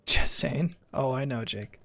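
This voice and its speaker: A man's voice: medium pitch, expressive, measured pace, creaky, bright, forceful tone. A young man giving casual, sarcastic commentary while streaming a video game, often muttering asides.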